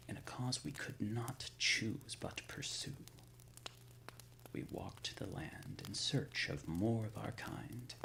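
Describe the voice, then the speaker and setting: An ancient undead lord of a secret order , played by a man, speaks very slowly in a low, creaking half-whisper. His diction is formal and old-fashioned, solemn and brooding, in the manner of an intimate ASMR roleplay. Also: a bit bright, heavily acted, poor audio. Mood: solemn, proud. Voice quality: breathy near-whisper with gravelly creak.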